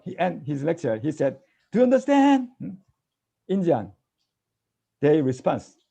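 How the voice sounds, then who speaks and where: A middle-aged Korean-accented man lecturing a class with a lively, swooping delivery. His voice is rough with fry and a husky, dark tone. He keeps checking in with tag questions. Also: sits high. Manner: animated, tag questions, mimics students' replies. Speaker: language teacher. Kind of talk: classroom lecture mixing English and Tagalog.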